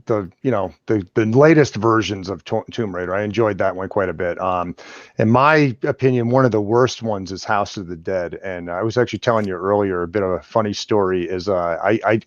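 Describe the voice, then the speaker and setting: A man's voice: fairly low pitch, no room sound. Dull-sounding male guest, casual and rambling. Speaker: guest, filmmaker and movie reviewer. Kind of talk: casual chat, telling anecdotes and opinions. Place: at home on an online call. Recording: dull lo-fi call audio.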